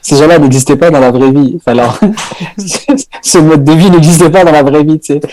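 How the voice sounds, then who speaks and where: A man's voice: fairly high pitch, steady tone, a little fast, dark tone, poor audio. A young man from northern France, a comedian guest chatting casually on a podcast, hesitant with many fillers and restarts.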